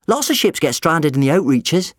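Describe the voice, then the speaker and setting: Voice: medium pitch, sing-song, moderate pace, no room sound, clean studio sound, pitched above the performer's own voice. A storyteller voicing an eager, stubborn young boy who dreams of space, in an audiobook. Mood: keen, informative.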